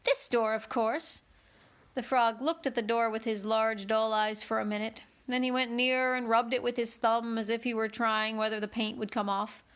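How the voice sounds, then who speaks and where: A woman's voice: a little high, flat delivery, moderate pace, few pauses, a bit bright, smooth. A woman narrating a children's storybook expressively as host of a read-along.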